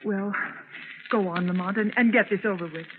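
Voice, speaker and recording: An actress playing a concerned, quick-witted young companion, brisk and gently exasperated, in a 1930s radio drama. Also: female voice, medium pitch, lightly acted. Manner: brisk, questioning. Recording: tinny, noisy 1930s radio recording.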